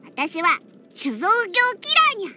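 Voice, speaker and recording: A woman's voice: very high-pitched, wildly swooping pitch, unhurried, heavily acted. A woman voicing a feisty little catgirl in an anime game, with a childlike, cutesy voice and a huffy, pouty manner. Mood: indignant, pouty. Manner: pouty, huffy, with cat-like verbal tics. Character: feisty little catgirl bartender who hates alcohol. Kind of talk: Japanese anime game character acting. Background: background music.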